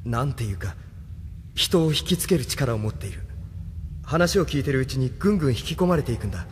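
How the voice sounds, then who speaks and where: A man's voice: medium pitch, animated, a little fast, natural voice. A man playing an earnest, self-doubting young hero in a Japanese audio drama, sounding troubled. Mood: pensive. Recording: long hall reverb, faint noise.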